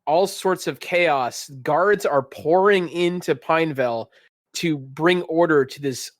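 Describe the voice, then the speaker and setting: A man's voice: fairly high pitch, unhurried, no room sound, clean studio sound. A man running a tabletop role-playing game, narrating in a theatrical, descriptive storytelling manner. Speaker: game master.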